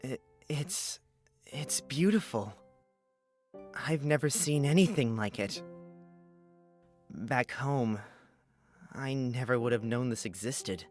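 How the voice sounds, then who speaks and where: A woman's voice: rumbling low, lively intonation, slow, long pauses, darkish tone, soft background music, voice pushed down, exaggerated voice. A woman voicing an easygoing, earnest young male orphan traveller in a visual novel, plainspoken and reassuring. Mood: quiet wonder.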